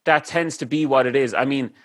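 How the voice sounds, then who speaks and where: A man's voice: medium pitch, brisk, no room sound. Chatty Irish man, dry close sound. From Ireland. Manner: rambling, chatty, lots of fillers. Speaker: podcast host. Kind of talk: casual chat.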